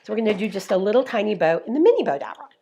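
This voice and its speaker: A woman's voice: mid-range voice, very animated, very fast, vocal fry, dark tone. A woman hosting a live craft tutorial, explaining each step in a chatty, upbeat way, her voice a little worn. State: slightly losing her voice. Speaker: craft demonstrator, show host.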